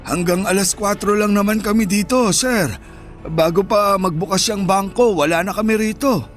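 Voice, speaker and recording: A man's voice: high voice, normal speed, faint music behind, close to an everyday voice. A man playing an elderly, easygoing street vendor who reasons and mildly grumbles in a radio drama. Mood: reasoning.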